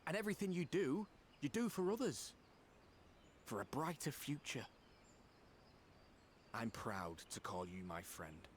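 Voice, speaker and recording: An actor playing an earnest, loyal friend in a fantasy video game, plain-spoken and encouraging. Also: male voice, medium pitch, big pitch swings, measured pace, pauses often, slight background noise. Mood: reassuring, warm. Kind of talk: dramatic video game voice acting. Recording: music behind the voice.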